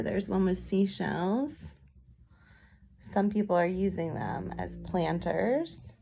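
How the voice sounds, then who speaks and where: A woman's voice: lowish voice, gravelly, darkish tone. A woman narrating a children's storybook, with casual asides that explain things to young listeners. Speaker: picture book read-aloud reader.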